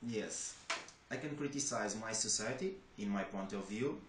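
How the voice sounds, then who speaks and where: Young Portuguese-accented man, low-ish voice, hesitant interview answers, roomy echo. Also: normal speed. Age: young adult. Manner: hesitant, many restarts and fillers. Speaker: job applicant. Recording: echoey room with faint noise.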